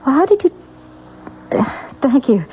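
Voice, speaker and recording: Anxious young wife, dull old radio. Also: female voice, sits high, big pitch swings, a little slow, a little hiss or hum. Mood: wary. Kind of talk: 1970s radio drama acting. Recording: dull old radio broadcast, faint music.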